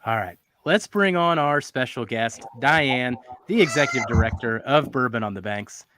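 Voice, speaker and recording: A man's voice: mid-range voice, normal speed, bright, forceful tone, slight background noise. A man hosting a casual whiskey show, upbeat and joking with his guests. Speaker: show host.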